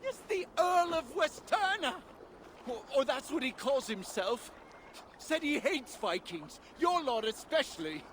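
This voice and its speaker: A man's voice: extremely high pitch, very animated, unhurried, a bit bright, slight background noise, exaggerated voice. An actor playing a cowardly captured raider in a video game, blurting out his words in panic. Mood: panicked pleading. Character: young captured raider, cowardly, defiant then pleading.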